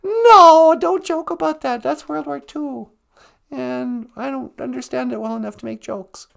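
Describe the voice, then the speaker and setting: A man putting on the voice of a chirpy woman co-host of a language podcast, giggly and teasing, her voice going hoarse. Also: very high voice, unhurried, fairly bright tone, exaggerated voice. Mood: scolding. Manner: giggly, teasing, sing-song. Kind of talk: comic puppet-style skit.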